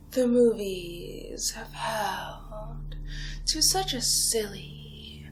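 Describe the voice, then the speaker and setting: Woman doing an ancient vampire lady, super slow breathy asmr whispering, seductive and menacing, music behind it. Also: on the high side, rough recording. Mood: mocking. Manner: slow, taunting, theatrical, drawn-out pauses. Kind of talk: ASMR roleplay monologue, soft-spoken and whispered.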